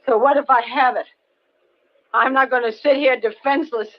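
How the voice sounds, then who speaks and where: A woman's voice: sits high, steady tone, moderate pace. An actress playing a suspicious, defiant old woman in a 1940s radio thriller. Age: older. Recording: dull old radio recording.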